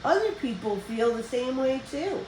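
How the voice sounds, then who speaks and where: An older woman reading a children's picture book aloud as a storyteller, with expressive, deliberate phrasing for young listeners. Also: sits high. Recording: roomy echo with background noise.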